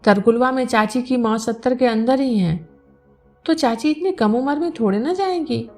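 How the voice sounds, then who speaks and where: Woman reading as high, earnest young village boy. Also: moderate pace, darkish tone, soft background music, close to an everyday voice. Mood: anxious hope. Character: devoted, anxious young nephew, a tearful boy.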